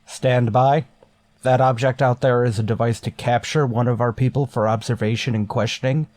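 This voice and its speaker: Actor as a starship captain, stern and guarded, mid-pitched male voice, curt authoritative orders, dry close sound, old-style sci-fi radio play. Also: fairly even intonation, normal speed, a little mellow, no echo, close to an everyday voice. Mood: stern, wary. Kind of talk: golden age sci-fi radio drama acting.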